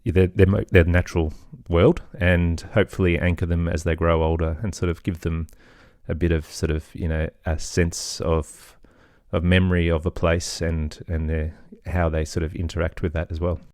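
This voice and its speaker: A middle-aged man talking casually and reflectively as a podcast guest, thoughtful and tentative, often softening what he says. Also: sits low, little pitch movement, moderate pace, few pauses, dry recording, studio quality. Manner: hesitant, thoughtful, hedging with softeners. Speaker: podcast guest, children's audio storyteller. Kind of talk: casual reflective interview talk.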